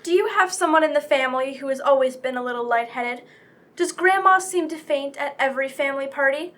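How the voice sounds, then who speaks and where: A woman's voice: fairly quick, fairly bright tone. A woman playing an earnest old-time radio announcer reading a scripted commercial. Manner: earnest sales pitch.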